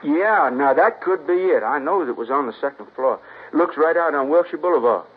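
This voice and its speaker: A man's voice: on the high side, animated, normal speed. A man playing a nervous, evasive young suspect from New Orleans, speaking with a hesitant Southern drawl in a 1950s radio drama. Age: young adult. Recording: old lo-fi radio broadcast, husky.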